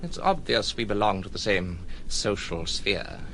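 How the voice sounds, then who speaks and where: An actor playing a cold, self-important gentleman outlaw, speaking formally and ominously in a radio drama. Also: on the low side, very animated, measured pace, a little hiss or hum, full character voice, rough recording. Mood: menacing, haughty.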